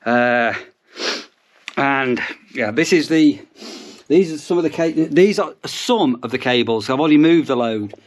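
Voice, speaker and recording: A man's voice: mid-range voice, expressive, unhurried. A man with an English accent talking casually in a rambling way, as if narrating his day for a vlog. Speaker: vlogger. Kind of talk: casual vlog chat to camera.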